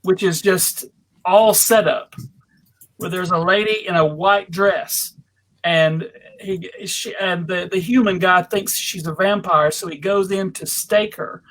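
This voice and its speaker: A panelist with a middling pitch talks casually about films. The delivery is halting and exploratory, as if working out each thought aloud. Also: a bit bright, low-quality recording.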